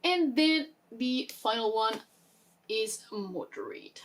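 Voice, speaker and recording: A woman's voice: on the high side, very animated, takes it slowly, slight background noise, poor audio. A woman chatting casually as a book vlogger, explaining things informally and interrupting herself with little asides. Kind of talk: casual chat explaining a reading game.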